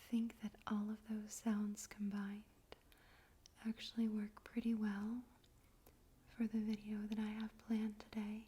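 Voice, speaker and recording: A woman speaking in a soft, breathy whisper, gently and carefully, in an ASMR style for poetry reading. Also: mid-range voice, a little hiss or hum. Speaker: ASMR host and poetry reader. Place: room in a home in daytime.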